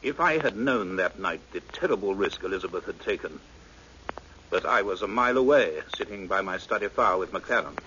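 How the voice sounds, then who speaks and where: An actor playing a grave, fatherly doctor with intense, measured storytelling in a 1960s radio drama. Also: deep voice, lively intonation, sharp tone. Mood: grave, suspenseful. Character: grave, fatherly doctor of science narrating events. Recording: dull, noisy old radio recording.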